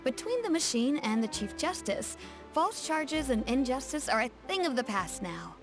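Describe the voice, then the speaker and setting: A woman's voice: high-pitched, big pitch swings, moderate pace. An actress playing a poised, articulate townswoman who explains things in scripted video game dialogue. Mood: composed, explanatory. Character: poised, well-spoken local townswoman, gently correcting. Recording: faint music behind.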